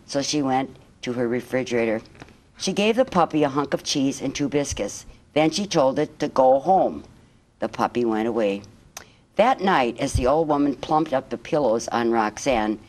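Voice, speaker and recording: A woman reading a children's picture book aloud as a guest storyteller, in measured, expressive phrases. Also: rumbling low.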